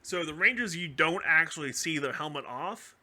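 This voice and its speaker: A man chatting casually as a podcast co-host, explaining things in an animated, rambling way. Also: on the high side, moderate pace. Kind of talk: casual chat, explaining fan theories about a TV franchise.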